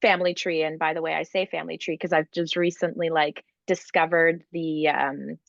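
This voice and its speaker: A woman's voice: low-pitched, flat delivery, creaky, no room sound, muffled recording. A woman from Ukraine, in her thirties, talking casually and eagerly as an interview guest, with many "like"s and fillers. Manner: fast, filler-heavy, enthusiastic with many "like"s. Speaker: guest entrepreneur. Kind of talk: conversational interview.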